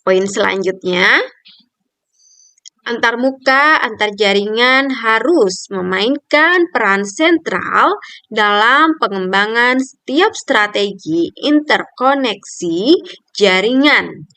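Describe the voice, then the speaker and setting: A woman's voice: fairly high pitch, animated, slow, sharp tone, clear, resonant tone, dry recording. A young adult woman reading a scripted article review in a careful, point-by-point manner, like a student presenter.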